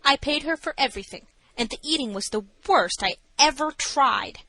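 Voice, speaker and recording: A woman's voice: high-pitched, animated, normal speed, bright, forceful tone, no room sound, voice pushed up, full character voice, rough recording. A woman voicing a stately, stubborn young woman feigning madness in an audiobook, haughty and insistent. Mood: stately, indignant.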